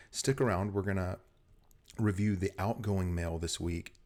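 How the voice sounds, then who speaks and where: A man's voice: low-pitched, a little mellow. A man hosting a casual video show, chatty and explanatory, his voice a little scratchy today. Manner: chatty, explanatory, occasional small laughs. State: scratchy voice from weather change. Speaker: host.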